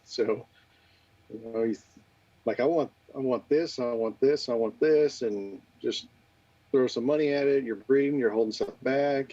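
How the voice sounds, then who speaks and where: A man's voice: mid-range voice, warm tone, no echo. A man chatting casually as a guest breeder, telling his story over muffled audio. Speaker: guest, exotic animal breeder.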